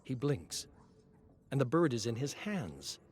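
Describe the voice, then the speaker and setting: A man delivers dramatic scripted narration at a slow, deliberate pace. His voice sits at a middle pitch and shifts between hushed and intense. Some background ambience sits faintly under the recording. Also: big pitch swings, a little hiss or hum.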